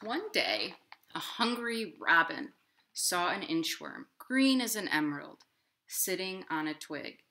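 A woman hosting storytime, speaking in simple, clear phrases to young children. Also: on the low side, very animated, slow pace, sharp tone. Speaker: children's library storytime host. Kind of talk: storytime for young children, talking and reading aloud. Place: living room at home, indoors.

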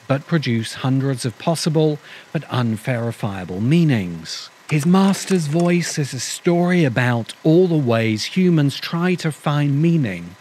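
A middle-aged man narrating a scripted essay as host, in an emphatic, deliberate way with dramatic pauses, close to the microphone. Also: mid-range voice, darkish tone, slight background noise. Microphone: close desk microphone. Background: music.

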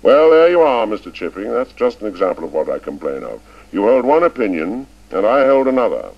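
A man's voice: on the low side, voice pushed up. Man doing an icy, stern headmaster. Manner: clipped, formal and icy. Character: cold, stern middle-aged male headmaster. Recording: dull lo-fi recording.

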